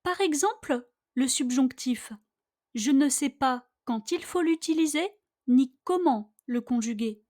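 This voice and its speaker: Very slow, high-pitched woman reading practice dialogue. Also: lively intonation, darkish tone. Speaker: language teacher. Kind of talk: scripted dialogue read slowly for listen-and-repeat practice.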